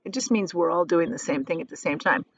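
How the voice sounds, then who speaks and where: A woman teacher reading a children's storybook aloud expressively, pausing to explain things in simple, casual words. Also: on the low side, vocal fry, husky, no room sound.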